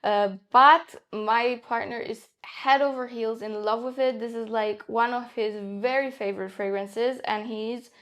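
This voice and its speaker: A young woman whose first language is Polish talks through her perfume picks at an unhurried pace. Her voice sits a little high, and she sounds eager, chatty and full of fillers. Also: fairly even intonation, a bit bright. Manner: chatty, enthusiastic, many fillers. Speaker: perfume reviewer. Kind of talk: casual fragrance review.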